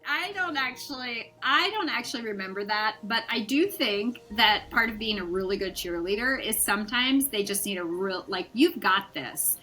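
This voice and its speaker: A woman's voice: medium pitch, lively intonation, moderate pace, few pauses, bright, forceful tone, faint music behind. A middle-aged woman, a pilot's mother, talking as a guest in a casual chat, encouraging and reassuring.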